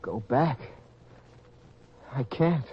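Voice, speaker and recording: A man's voice: medium pitch, drawn-out words, breathy edge, full character voice. An actor playing a naive, earnest young farm boy who has been swindled, in an old-time radio drama style. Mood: dazed, subdued. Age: young adult. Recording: dull lo-fi radio-style recording.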